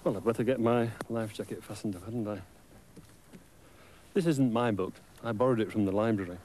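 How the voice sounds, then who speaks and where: A middle-aged British man presenting and telling stories to young children in simple, clearly phrased sentences. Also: fairly low pitch, a little fast, darkish tone, a little hiss or hum. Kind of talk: gentle scripted storytelling to young children.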